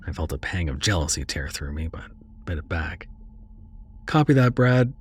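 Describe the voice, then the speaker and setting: A man narrating a scripted horror story with a hushed, dread-filled delivery. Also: rumbling low, steady tone, brisk, slightly rough.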